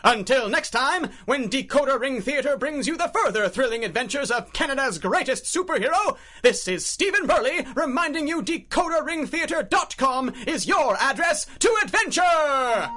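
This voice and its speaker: A man playing an excited, theatrical announcer of an old-time radio adventure serial, bombastic in delivery. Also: extremely high pitch, normal speed, few pauses, full character voice. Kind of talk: 1930s-style radio announcing.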